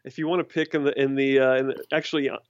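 Male sports podcast host, rapid and wry, dull dead-dry recording. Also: mid-range voice, little pitch movement, smooth. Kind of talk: casual sports chat.

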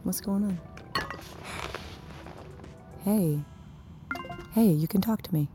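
A woman's voice: medium pitch, slow pace, warm tone, faint music behind, lightly acted. An actress playing a blunt, wry but caring woman in an audio drama, talking in a naturalistic, teasing way. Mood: gentle, frank.

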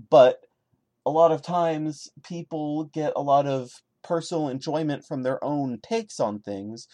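A man's voice: medium pitch, darkish tone. A man giving a dramatic reading of a horror story as a podcast host, breaking into exasperated, sarcastic comic commentary. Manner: sarcastic, stammering asides between solemn narrated passages.

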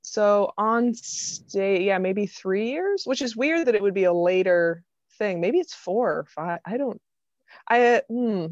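A woman's voice: medium pitch, expressive, a little slow, a little mellow, no room sound. An American woman from Vermont, a comedian guesting on a podcast, chatting casually in a wry, informal way. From Vermont, United States. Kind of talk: casual chat. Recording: slightly dull, lo-fi microphone sound.

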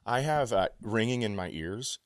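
A man telling casual, animated stories as a comedian on a podcast, often profane and easily amused. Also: lowish voice, moderate pace, no room sound, studio quality. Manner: acts out other people's quotes.

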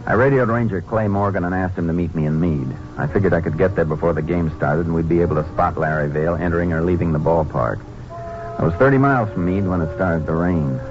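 A man's voice: low-pitched, quick pace, close to an everyday voice. An actor playing a terse, matter-of-fact Texas Ranger lawman in a 1950s radio western crime drama. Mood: measured, matter-of-fact. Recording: muffled old radio broadcast with music.

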